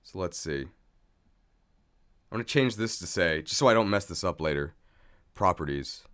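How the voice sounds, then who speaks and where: Casual male streamer with lively, swinging pitch. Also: normal speed. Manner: self-deprecating, thinking aloud, jokey. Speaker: streamer, video host. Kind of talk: casual livestream chat while setting up.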